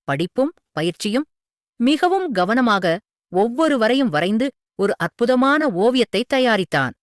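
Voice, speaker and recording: A woman reading a scripted moral story for children as a storyteller, with an expressive, earnest delivery. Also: mid-range voice, dry recording, studio quality.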